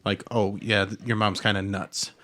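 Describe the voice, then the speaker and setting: A man hosting a podcast in casual, rambling conversation, telling things in a halting, informal way. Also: fairly low pitch, level tone, sharp tone. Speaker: podcast host. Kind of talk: casual chat, rambling storytelling.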